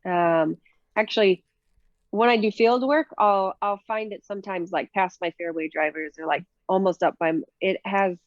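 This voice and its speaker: A woman's voice: medium pitch, normal speed, no echo, highs cut off. A woman from Minnesota with a Minnesota accent, chatting casually in an interview with many fillers and easy laughs. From Minnesota, USA. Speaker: professional disc golfer, guest.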